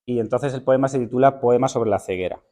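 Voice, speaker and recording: Man reading poetry, mellow and unhurried. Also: mid-range voice. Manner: unhurried, thoughtful, with hesitant fillers. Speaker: teacher reading poetry at a literary event. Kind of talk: poetry reading with spoken introduction.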